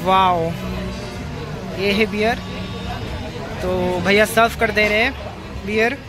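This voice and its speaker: A man's voice: sits high, fairly even intonation, takes it slowly. A man in his thirties vlogging on a handheld phone, mixing Hindi and English as he reads out a menu. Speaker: food vlogger. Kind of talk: casual restaurant vlog. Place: busy brewery restaurant. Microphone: handheld phone camera. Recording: loud music and crowd chatter.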